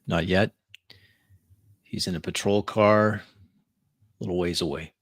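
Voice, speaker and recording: A man voices a vampire in a tabletop roleplay, humbly addressing his superior. His voice is deep and closely recorded. He is halting, deferential and quick to apologize. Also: steady tone, dry recording, clean studio sound. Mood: grave, urgent. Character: deferential vampire pleading with a powerful sheriff.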